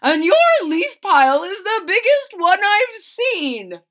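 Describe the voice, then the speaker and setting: An excited storybook blue jay, played by a woman, calling out from high overhead. The voice is shrill and bright, with big swoops and a loud, carrying delivery. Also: takes it slowly, exaggerated voice. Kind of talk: children's storybook read-aloud.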